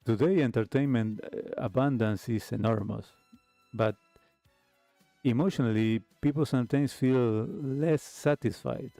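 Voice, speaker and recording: A man hosting a retro radio talk show, speaking in a reflective, halting monologue to his listeners. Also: medium pitch, creaky, mellow, no echo. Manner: hesitant, halting phrasing with pauses. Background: background music.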